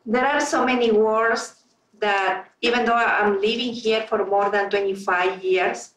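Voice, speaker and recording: A woman's voice: medium pitch, fairly even intonation, unhurried, fairly bright tone. Peruvian lady, spanish accent, earnest club talk, kinda far off in a roomy space. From Peru. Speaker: club member. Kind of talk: informal speech to a club meeting. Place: meeting room. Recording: roomy sound from a distant mic.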